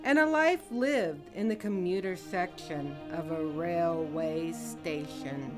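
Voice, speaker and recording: An actress playing a solemn narrator of an old-time radio drama, addressing the listener in a theatrical, deliberate, rhetorical way. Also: female voice, on the low side, loud music behind the voice. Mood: grave, dramatic. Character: solemn female narrator challenging the listener to judge lovers.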